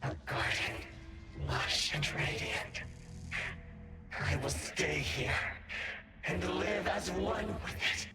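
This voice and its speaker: A man voicing a troubled, entranced boy in a game cutscene, reciting solemn poetic lines in a halting, dreamy way. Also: high-pitched, huge pitch swings, exaggerated voice. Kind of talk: dramatic game cutscene acting. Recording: loud music behind the voice.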